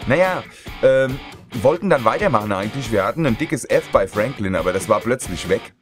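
A man's voice: medium pitch, animated, fairly quick. A man with a slight cold casually commenting on his game, chatting and joking with viewers. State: a bit stuffy from a cold. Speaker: let's player. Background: loud game music.

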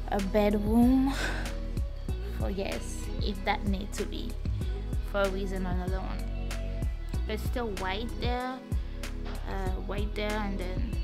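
A young woman from Montreal whose first language is French, casually narrating a tour of her apartment with many fillers and loose grammar. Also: mid-range voice. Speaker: vlogger giving a home tour. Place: small apartment rooms indoors. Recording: loud background music.

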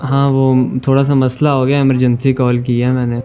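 A man's voice: medium pitch, completely flat delivery, moderate pace, dark tone. A man in his twenties with a Pakistani accent, chatting casually as a gaming YouTuber making prank calls. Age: 20s. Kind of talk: casual chat and prank calling. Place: indoor gaming room.

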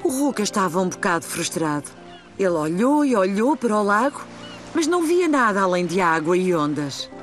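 A woman voicing a gentle storybook narrator for a children's cartoon, with a European Portuguese accent. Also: medium pitch, sing-song, moderate pace, faint music behind, lightly acted. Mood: gentle storytelling.

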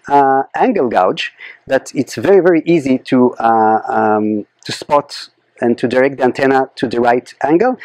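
A middle-aged man who speaks English as a second language, explaining gear casually as a channel host, hesitant and often pausing mid-sentence. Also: mid-range voice, darkish tone, no room sound. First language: not a native English speaker. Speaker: ham radio channel host. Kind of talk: casual product review and setup explanation. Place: backyard lawn outdoors, by a house.

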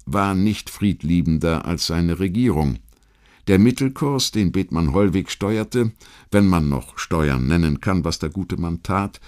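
A man with a standard German accent reading a literary history documentary as a scripted audiobook, in a measured, rhetorical delivery with deliberate emphasis. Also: low voice, darkish tone. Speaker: audiobook narrator.

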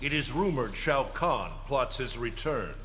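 A man's voice: mid-range voice, lively intonation, very noisy, natural voice. Man playing a solemn, stern thunder god. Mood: grave, stern. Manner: formal, grave, measured.

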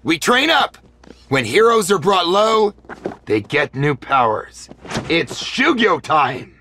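Hyped comic book nerd dude in a game, dramatic, swoopy pitch, sharp scratchy voice. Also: male voice, very animated, slow pace, heavily acted. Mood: excited, dramatic. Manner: dramatic, goofy, enthusiastic. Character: excitable geeky young man, comic-book-loving slacker hero. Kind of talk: animated video game acting.

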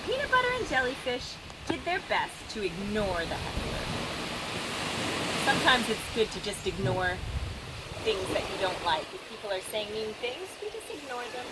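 A woman in her thirties reading a children's story like a teacher, expressive and instructive, outdoors. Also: medium pitch, moderate pace, heavy background noise. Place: open seafront, outdoors. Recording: loud ocean surf behind voice.

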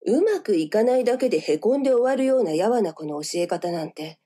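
A woman's voice: medium pitch, sing-song, quick pace, mellow, husky. An actress playing a cool, teasing, self-assured senior businesswoman in a voice drama. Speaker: voice actor. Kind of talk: voice drama dialogue. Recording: music behind the voice.

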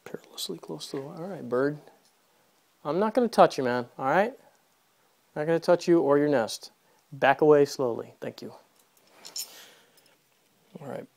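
A man's voice: on the high side, normal speed, gravelly, pauses often, a little mellow, no room sound. A man giving casual running commentary while he fixes an electric fence in a horse barn, talking to himself and his horses as he works, recorded close on a handheld phone. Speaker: video creator demonstrating fence work. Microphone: phone camera held close, first-person view.

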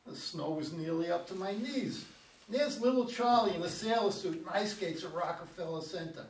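Man acting a high-voiced lonely older father. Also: moderate pace. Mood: excited, nostalgic. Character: wary, regretful father and grandfather, a lonely driver. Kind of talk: staged script reading with monologue. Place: meeting room with long table, indoors. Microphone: far camera. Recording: roomy, distant mic, background room noise.

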